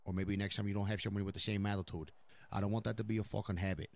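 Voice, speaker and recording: A man's voice: fairly low pitch, level tone, rapid-fire, gravelly, darkish tone. A man playing a stern, blunt, profane mafia boss in an improvised online game roleplay. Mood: stern warning. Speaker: streamer role-playing a crime family boss.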